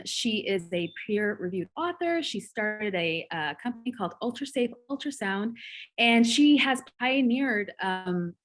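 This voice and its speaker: A woman's voice: fairly high pitch, a bit bright. Chatty young woman on a video call. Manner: chatty, affirming, frequent fillers and laughs. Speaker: host, cardiac sonographer. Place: home room, indoors.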